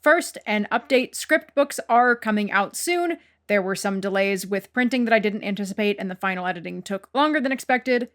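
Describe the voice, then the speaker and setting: A woman's voice: mid-range voice, expressive, normal speed, fairly bright tone. A woman hosting a podcast, reading scripted announcements to listeners. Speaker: podcast host and producer.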